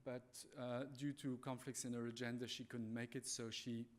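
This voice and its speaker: Halting man with a French accent. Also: mid-range voice, flat delivery. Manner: halting, polite and apologetic. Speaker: conference speaker, animal welfare manager. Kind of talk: conference presentation.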